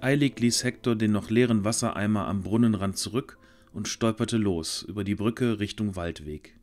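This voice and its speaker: A man narrating a scripted fantasy audio drama in a measured storytelling manner. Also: fairly low pitch, darkish tone, soft background music.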